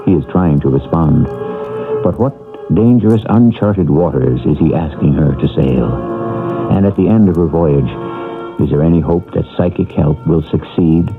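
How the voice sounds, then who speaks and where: Deep-voiced, grave radio drama host. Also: male voice, moderate pace. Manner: grave, theatrical. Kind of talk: dramatic scripted narration. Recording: old lo-fi radio broadcast with music.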